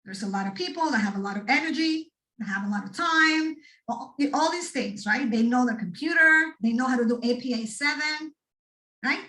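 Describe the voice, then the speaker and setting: Lady, middle-aged, pumping up students, kinda high voice, echoey and far from the mic. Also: moderate pace. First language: Spanish. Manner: animated, addresses the audience directly with frequent "right?". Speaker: speaker at a student workshop. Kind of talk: motivational talk to students.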